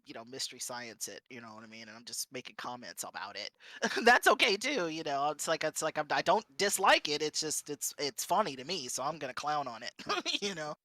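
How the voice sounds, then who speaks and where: A woman streamer chatting casually as a panel guest, animated and jokey, acting out little quips as she talks. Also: sits low, fairly quick, no echo. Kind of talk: casual chat.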